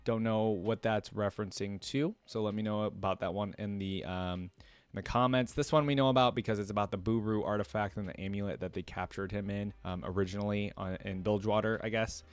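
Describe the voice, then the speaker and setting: A man's voice: lowish voice, steady tone, brisk, clean tone, no room sound. Man chatting casually in a dry recording. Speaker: reaction video host. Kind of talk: casual unscripted commentary to viewers.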